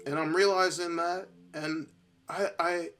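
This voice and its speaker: Tired, higher-voiced man rambling over music. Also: steady tone, a bit bright. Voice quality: tired, worn-out voice. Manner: halting, rambling, self-reflective. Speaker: podcast host. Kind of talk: casual solo monologue, thinking aloud.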